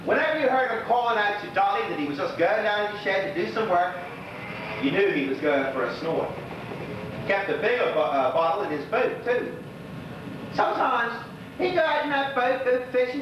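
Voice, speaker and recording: An actor playing a lonely, gossipy old widower with a Bermudian accent, rambling through neighbourhood memories in a folksy stage monologue. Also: male voice, dull audio. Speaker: actor in a one-act monologue. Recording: echoey hall from far off, noisy, rumbling.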